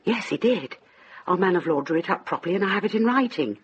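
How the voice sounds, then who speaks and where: A low, husky aging widow. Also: female voice, expressive, fast talker, lo-fi sound. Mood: anxious. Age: older. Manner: earnest, tender. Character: gentle, anxious aging widow. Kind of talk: radio drama acting.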